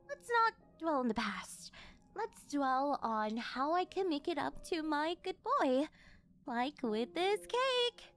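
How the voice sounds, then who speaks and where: A rich, obsessive older girlfriend in an anime-style ASMR roleplay, acted by a woman. Her voice is very high and swings animatedly as she coos and gushes at her beloved. She is clingy and over-eager, with faint music behind her. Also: fairly bright tone, full character voice. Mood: apologetic, sheepish, then proud, doting. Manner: gushing, cooing, over-eager, flustered denials. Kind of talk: ASMR roleplay acting addressed to the listener.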